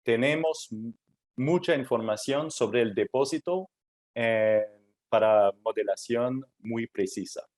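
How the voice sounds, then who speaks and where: A man giving a technical workshop talk in Spanish, his third language. He speaks slowly, with a mid-range voice. He pauses often and fills the gaps with hesitant "eh" sounds, restarting words as he searches for them. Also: mid-range voice, a little mellow. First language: not Spanish; Spanish is his third language. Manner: halting, many "eh" fillers and restarts, non-native phrasing. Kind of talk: technical presentation.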